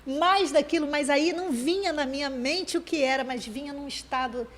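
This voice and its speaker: High-voiced woman talking fast with restarts. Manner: fast, searching speech with many restarts. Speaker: program co-host.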